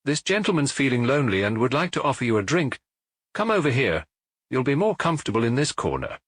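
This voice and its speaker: A knowing, familiar male dance-hall owner, as a male narrator puts him on for an audiobook. He talks confidingly from behind the bar, pitched up, with a lively melody and a slightly husky grain. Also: quick pace, studio quality. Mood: obliging. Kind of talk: audiobook character dialogue.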